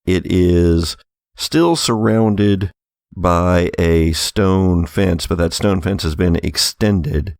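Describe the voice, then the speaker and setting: Male game master, very low and creaky, wry improvised horror narration. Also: very slow, darkish tone, no echo, clean studio sound.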